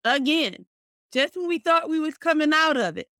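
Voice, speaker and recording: A middle-aged woman co-hosting a casual podcast, expressive and animated, speaking warmly about faith and community. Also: high-pitched, normal speed, no echo, clean studio sound. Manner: warm, expressive, talks about faith and blessings; frequent fillers and restarts, animated storytelling. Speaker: podcast co-host.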